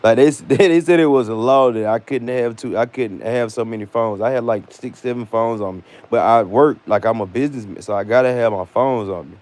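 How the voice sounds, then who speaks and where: An American man vlogging, telling a personal story casually with slangy, animated delivery and acted-out dialogue. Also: medium pitch, fairly quick, hardly any pauses. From United States.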